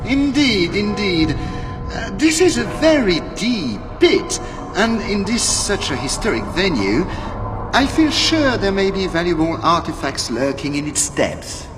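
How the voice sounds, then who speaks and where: A high-pitched, slow-talking French scoundrel, sly and cowardly. His wheedling, mock-polite delivery swoops up and down, as in a game cutscene. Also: very animated, over music. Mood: smug, mock-polite. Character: sly, cowardly, oily Frenchman treasure-hunting villain. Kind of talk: video game cutscene acting.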